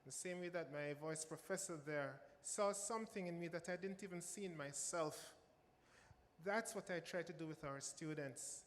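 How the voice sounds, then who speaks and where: A man's voice: a little high, a little hiss or hum. A man with a Jamaican accent, a music professor speaking on a panel, emphatic and given to repeating phrases for stress. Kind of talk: panel remarks. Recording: reverberant hall with faint ambience.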